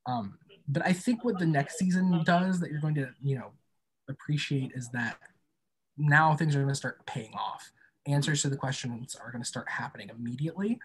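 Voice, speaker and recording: A man's voice: fairly high pitch, normal speed, rough recording. A man speaking as an interview guest in a casual, informal and thoughtful way about his own work. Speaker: podcast creator and guest being interviewed.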